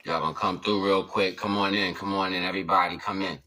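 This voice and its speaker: A man's voice: low voice, level tone, fast talker, a crowd in the background. A man talking casually as a livestream host, in slangy, informal speech, often checking in with his listeners. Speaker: livestream host and hobby musician.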